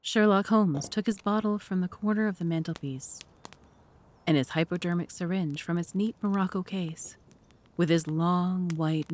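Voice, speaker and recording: A woman with a fairly low voice narrates an audio drama. Her delivery is scripted, literary and formal, with measured phrasing. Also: darkish tone.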